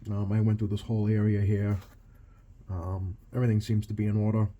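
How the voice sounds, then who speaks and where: A man giving casual, halting hands-on repair commentary, thinking aloud as he works at a bench. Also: on the low side, level tone, mellow, lo-fi sound. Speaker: radio repair technician, video host. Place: small indoor workshop at an electronics bench.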